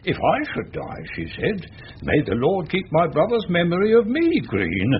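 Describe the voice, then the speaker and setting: A fond old father in an old radio play. He rambles in a folksy way, with extremely lively swoops. The sound is muffled with faint noise. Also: a little high, moderate pace. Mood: fond, reminiscing. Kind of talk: old-time radio drama acting.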